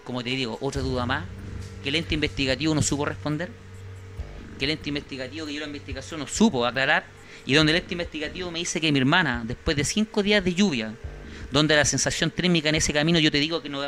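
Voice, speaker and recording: A Chilean man with a slightly raised pitch speaks insistently into a handheld mic in a small studio. He stacks questions and repeats himself as he hunts for words. Faint music sits under the talk. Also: fast talker, pushed and bright. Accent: Chilean Spanish. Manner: insistent, indignant, repeats words while searching. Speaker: guest, victim's brother. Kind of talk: studio interview.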